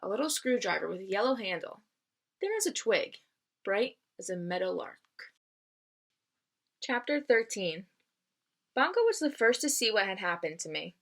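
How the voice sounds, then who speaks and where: A woman with a medium-pitched voice gives a storybook read-aloud. Her delivery is expressive, and she sounds teacherly, putting things simply as if for young listeners. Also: moderate pace, vocal fry, long pauses.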